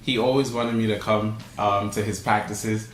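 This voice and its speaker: A man's voice: lowish voice, fairly even intonation, moderate pace, slight background noise. A young man from Trinidad answering interview questions, hesitant, with many fillers and restarts. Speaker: guest, steelpan arranger. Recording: echoey room, faint ambience.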